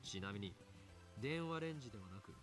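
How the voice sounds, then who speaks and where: Man acting young mad scientist, pompous, declamatory, very fast, visual novel game, music clearly behind. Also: fairly low pitch, animated, natural voice. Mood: pompous, insistent. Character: pompous, theatrical young male self-styled mad scientist.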